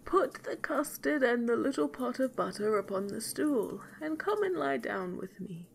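A woman's voice: a little high, expressive, creaky, a little hiss or hum, pitched above the performer's own voice, rough recording. Woman putting on a sly, coaxing hungry wolf. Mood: softly coaxing. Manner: softened, coaxing, drawn-out speech.

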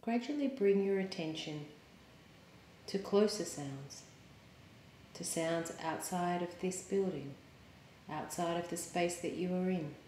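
A woman yoga teacher leading a guided meditation in a calm, soothing, measured way with pauses. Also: fairly low pitch, slight background noise. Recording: roomy, echoing sound.

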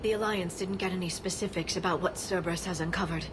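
A woman voicing an earnest young female alien scientist who explains things calmly, in a video game cutscene. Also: on the low side, fast talker, noisy background, natural voice. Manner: calm, explanatory. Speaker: archaeologist companion. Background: game music.

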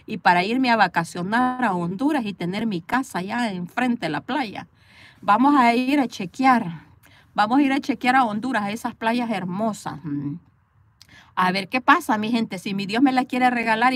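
A woman's voice: mid-range voice, a bit bright. A woman from El Salvador with a Salvadoran accent, chatting playfully and laughing with live viewers from inside a parked car, recorded on a phone. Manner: chatty, playful, laughs often, talks back to commenters. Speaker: livestream host reading viewer comments. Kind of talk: casual chat with live viewers.

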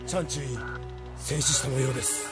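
An actor playing a clipped, dutiful military operator giving reports in an anime audio drama. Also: male voice, medium pitch, steady tone, fairly quick, lightly acted. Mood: tense reporting. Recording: voice under music.

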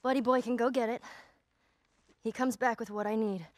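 A woman's voice: fairly high pitch, steady tone, moderate pace, no echo, lightly acted. A woman playing a wary, tough American teenage girl survivor in a video game, talking in a curt, guarded way. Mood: defiant, wary. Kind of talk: naturalistic video game acting.

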